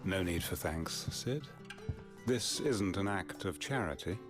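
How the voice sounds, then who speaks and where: A man's voice: low-pitched, expressive, unhurried, over music. A man playing a stern, shrewd innkeeper in a video game, speaking in a formal, dry, businesslike manner. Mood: dry, demanding. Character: stern, shrewd male innkeeper, bargaining without sentiment.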